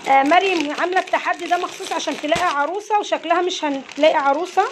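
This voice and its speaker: امرأة مصرية سريعة الكلام بصوت عالٍ. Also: female voice, extremely high pitch, very fast, noisy background. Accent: Egyptian Arabic. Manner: chatty, coaxing the kids along. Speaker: channel host. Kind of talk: casual unboxing chat with children. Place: home, indoors, family around. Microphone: phone held by hand.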